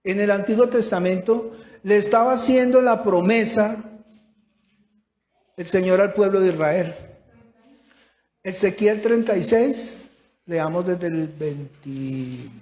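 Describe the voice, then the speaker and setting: A man's voice: sits high, slow, pauses often, darkish tone. A male pastor preaching a sermon, asking the congregation questions and raising his voice for emphasis. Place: church hall. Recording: echoey church room.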